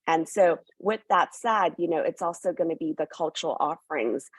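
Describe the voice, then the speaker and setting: A woman's voice: sits low, normal speed, breathy edge, no room sound. A woman raised in New York, talking eagerly about a hotel in a promotional interview, with many fillers. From born in Hong Kong, raised in New York. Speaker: hotel representative.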